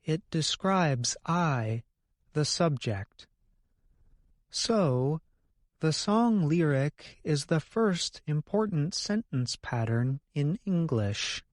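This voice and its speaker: A man's voice: on the high side, very animated, drawn-out words, no echo, studio quality. A man co-hosting an educational podcast, reading scripted explanations in a careful, explanatory manner. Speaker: co-host of an English lesson podcast.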